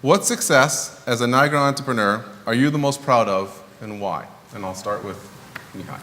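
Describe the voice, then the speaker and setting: A man moderating a live panel, putting questions to the panelists in a brisk, friendly way. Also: mid-range voice, sharp tone. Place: large hall, live panel. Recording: echoey hall, faint room noise.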